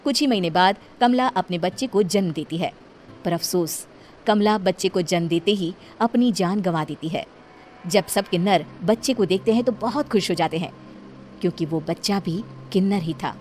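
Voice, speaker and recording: A woman storyteller reading scripted narration for an animated tale. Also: lowish voice, moderate pace, slight background noise. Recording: music behind the voice.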